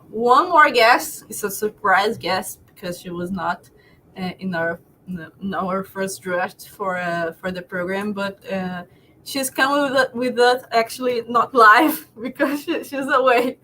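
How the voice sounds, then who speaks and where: A woman's voice: mid-range voice, bright, forceful tone, slight background noise, rough recording. Woman hosting an online event. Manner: hesitant, with many fillers. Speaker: event host.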